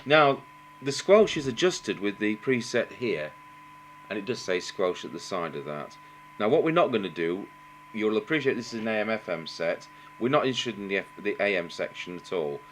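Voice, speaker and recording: A middle-aged man giving an unscripted technical demonstration as a radio engineer, haltingly thinking aloud. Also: on the low side, sing-song, low-quality recording. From England. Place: indoor workbench, voice off camera. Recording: noticeable background noise with hum.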